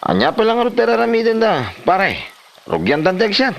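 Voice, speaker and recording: An actor playing a drinking buddy eager for free liquor in an Ilocano comedy drama, with an Ilocano accent. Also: male voice, high-pitched, wildly swooping pitch, normal speed, creaky, full character voice.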